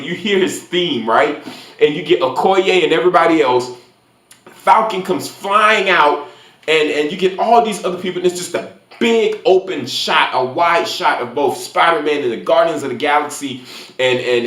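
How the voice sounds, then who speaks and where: A man's voice: medium pitch, a bit bright. A man talking casually and emphatically as an online movie reviewer, in informal fan language with some mild swearing. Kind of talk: casual spoiler-filled movie review monologue. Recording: roomy echo with faint music behind. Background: faint music.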